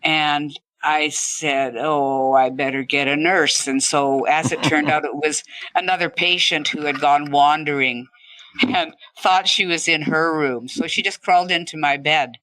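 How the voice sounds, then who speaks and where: A woman's voice: sits low, fairly even intonation, a little slow, a bit bright, no room sound, poor audio. A woman speaking as an interview guest, telling personal anecdotes in a conversational, emphatic way. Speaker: interview guest, stroke survivor.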